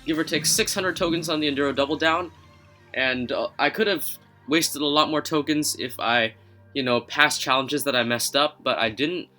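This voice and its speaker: Young male game commentator, first language Chinese, bright and fairly high, soft music under him. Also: normal speed. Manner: chatty, self-mocking, upbeat. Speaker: gaming YouTuber. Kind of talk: casual game commentary voice-over.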